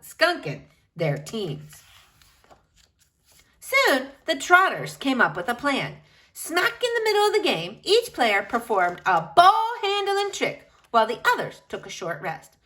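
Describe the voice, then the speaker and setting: A woman reads a picture book aloud in a bright, fairly high voice. Her delivery is unhurried and theatrical, leaning hard on important words and drawing them out for young listeners. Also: wildly swooping pitch. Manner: emphatic, dramatic phrasing with drawn-out key words. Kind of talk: expressive children's picture book read-aloud.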